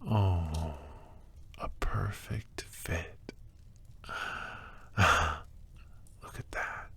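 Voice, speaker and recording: A man playing an obsessive, doting and sinister kidnapper in an ASMR roleplay, speaking softly and haltingly with breathy whispers and sighs. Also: low-pitched, no echo, heavily acted. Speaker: voice actor.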